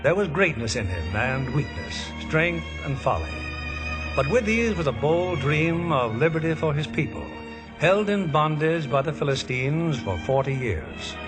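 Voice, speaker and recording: A male announcer presents a vintage 1950s radio broadcast. His voice is fairly high and very lively in pitch. He reads in a scripted presenting style, with faint music behind him. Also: measured pace.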